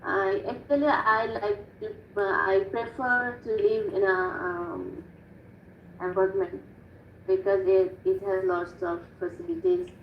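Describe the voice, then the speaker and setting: Woman on a video call, very slow and hesitant, lots of ums, dull echoey audio with a little hiss. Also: mid-range voice. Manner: hesitant, many fillers and restarts. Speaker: IELTS student, mother of two. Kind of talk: practice speaking test answers.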